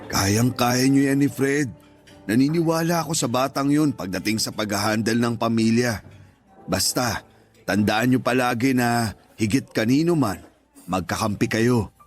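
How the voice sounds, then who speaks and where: A middle-aged stepfather played by a man speaks in a medium-pitched voice with a Filipino accent. He is devoted, tender and reassuring, like a dad giving counsel in a radio drama, with faint music behind him. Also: a little slow, close to an everyday voice. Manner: earnest, fatherly, reassuring. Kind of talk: radio drama acting in Tagalog.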